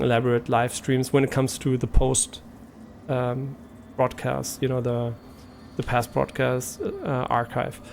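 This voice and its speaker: A man's voice: medium pitch, vocal fry, a little mellow, a little hiss or hum. A young man with a German accent, talking casually on a live stream, hesitant and rambling with many fillers and restarts.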